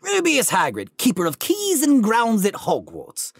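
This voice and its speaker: A woman voicing a huge, shaggy male giant who is a groundskeeper, speaking in a loud, booming, rustic way. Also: huge pitch swings, a little slow, breathy edge, no room sound, full character voice. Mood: friendly.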